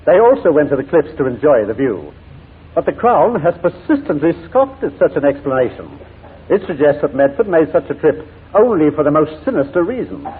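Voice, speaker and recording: Theatrical defense barrister, very husky, animated delivery, formal, insistent, 1940s radio courtroom drama. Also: male voice, fairly high pitch, fairly quick, full character voice. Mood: pressing, insistent. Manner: formal, pointed, insistent questioning. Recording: muffled, narrow-band 1940s radio broadcast.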